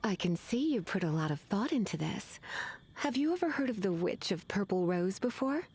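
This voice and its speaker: A woman's voice: mid-range voice, big pitch swings, moderate pace, a little mellow, breathy edge. A woman playing a sultry, teasing witch librarian in a video game, languid and coy with a menacing sweetness. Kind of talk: video game character acting. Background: game music.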